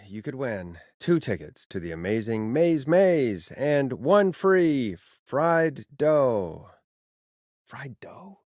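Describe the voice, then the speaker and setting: Late-night radio host, wry, sardonic, very lively pitch swings, mid-range, dry close studio sound, video game. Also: male voice, no room sound. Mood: showy, upbeat. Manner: sardonic, expressive, showy on air. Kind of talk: video game voice acting, swinging between radio patter and casual talk.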